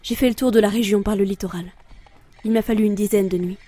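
A woman's voice: medium pitch, fairly even intonation, fast talker, mellow, natural voice. An actress playing a weary, brooding female reaper of souls in an introspective audio drama narration. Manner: solemn, introspective.

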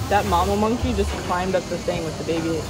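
Casting: American woman, thirties, chatty vlogger, rambling delivery, loud music behind. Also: on the low side, fast, rough recording. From United States. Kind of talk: casual vlog chat. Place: open-fronted street-side cafe. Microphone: handheld phone at arm's length. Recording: music behind, handheld outdoor vlog audio.

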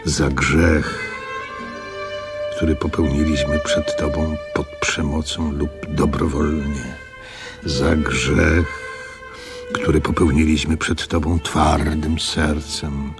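Very deep, slow old innkeeper. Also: male voice, little pitch movement, music in the background. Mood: penitent. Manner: solemn, measured. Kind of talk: Polish radio drama narration and monologue.

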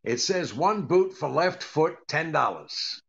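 Gravelly deadpan old radio husband, played by a man. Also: on the high side, slow, highs cut off, heavily acted. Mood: dry, patient. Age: older. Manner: deadpan, hesitant with uhs. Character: dry, put-upon comedian husband doing income tax. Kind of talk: 1940s radio comedy acting.

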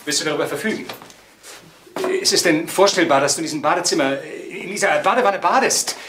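An actress playing an impatient grown daughter, insistent and exasperated in a crime drama. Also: female voice, deep voice, a little fast, lightly acted. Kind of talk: old German TV crime drama acting. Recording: echoey room, distant mic, noisy soundtrack.

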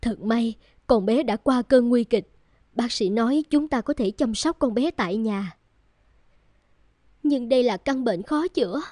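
High, tender young cartoon woman. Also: measured pace, long pauses, dark tone, no room sound, clean studio sound. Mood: concerned. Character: tender, caring young woman in a family drama. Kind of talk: dubbed cartoon drama.

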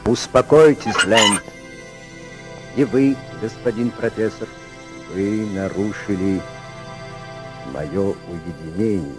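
An actor in a radio play voicing a proud, commanding, reclusive submarine captain, cold and measured. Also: male voice, fairly low pitch, music in the background. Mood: cold menace.

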